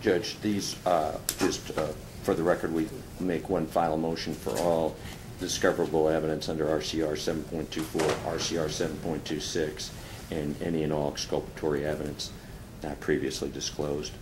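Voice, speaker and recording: A man's voice: extremely low pitch, level tone, normal speed. A man speaking as a defense lawyer, making an argument to a judge in court. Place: indoor courtroom. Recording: noisy courtroom recording.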